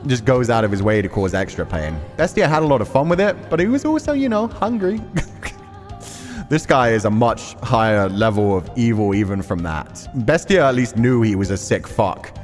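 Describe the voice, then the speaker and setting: A man's voice: on the low side, very animated, normal speed, soft background music. A man giving casual, candid game commentary, reacting as he goes and swearing freely. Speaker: streamer playing a visual novel. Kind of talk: casual game commentary with read-aloud text.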